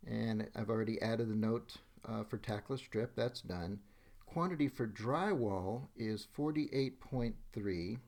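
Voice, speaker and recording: A man's voice: fairly low pitch, a little slow, a little mellow. A man giving a step-by-step screen tutorial as a demonstrator, methodically announcing each action as he goes. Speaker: software demonstrator. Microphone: voice-over on a screen recording.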